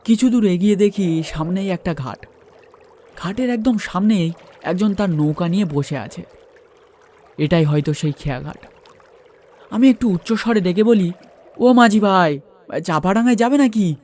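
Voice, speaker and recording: A man's voice: high-pitched, normal speed, long pauses, darkish tone, slight background noise. A man narrating a first-person horror story and acting out its dialogue.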